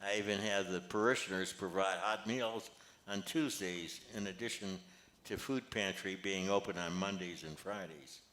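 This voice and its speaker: Older man, low voice, genial modest grandfather as a man reads him in a play, plain delivery, echoey. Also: moderate pace, fairly bright tone. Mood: matter-of-fact. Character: genial, modest grandfather who organizes charity work. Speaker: amateur actor reading a play. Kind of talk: scripted play reading. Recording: echoey, roomy amateur recording.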